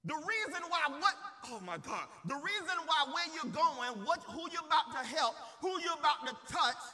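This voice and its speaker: A man's voice: extremely high pitch, very animated, normal speed, bright, forceful tone. A man preaching a church sermon in an impassioned, emphatic way, repeating phrases for effect and calling on the congregation to respond. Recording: long reverberant hall sound.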